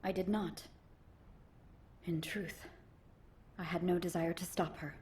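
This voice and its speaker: A woman voicing a proud, solemn warrior from another era in a video game, with formal, measured phrasing. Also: fairly low pitch, fairly even intonation, a little mellow, a little hiss or hum.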